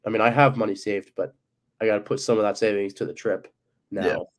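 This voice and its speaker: A man's voice: lowish voice, steady tone, a little fast. Stuffy-voiced young man hosting a podcast. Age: young adult. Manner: rambling, lots of ums and likes. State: stuffy from a cold. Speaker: podcast host. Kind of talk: casual sports podcast chat.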